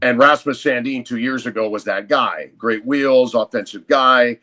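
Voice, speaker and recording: A man speaking as a guest sports announcer on a podcast. His delivery is conversational yet measured and articulate. He lays out his analysis fluently, like an experienced broadcaster. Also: medium pitch, bright, forceful tone.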